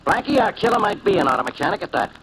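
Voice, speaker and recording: An actor playing a stubborn, self-satisfied police inspector, brisk and argumentative, in a 1940s radio detective drama. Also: male voice, sits high, sing-song, creaky, heavily acted. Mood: gruff, self-assured. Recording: noisy old 1940s radio recording.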